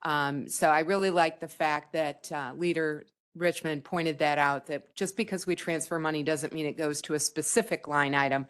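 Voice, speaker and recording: A woman county board member making measured remarks at a public meeting, with frequent hesitations. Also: low-pitched, steady tone, clean tone.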